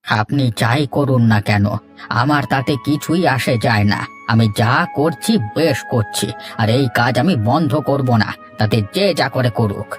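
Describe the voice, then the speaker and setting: Cartoon village farmer, male actor: mid-pitched, defiant, grumbling and argumentative. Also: normal speed, lightly acted. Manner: indignant, argumentative. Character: stubborn, defiant village poultry keeper, grumbling husband. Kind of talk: cartoon dialogue acting. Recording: faint music behind.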